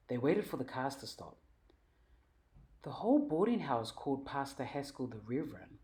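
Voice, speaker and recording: A woman reading a children's story aloud in an animated, emphatic, expressive way. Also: a bass voice. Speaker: storybook reader.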